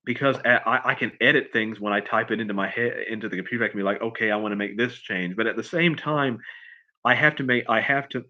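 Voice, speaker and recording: A man chatting casually on a livestream as a writer answering questions, in a halting, rambling way, often repeating and restarting his phrases. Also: medium pitch, lo-fi sound. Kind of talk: casual unscripted chat, answering viewer questions.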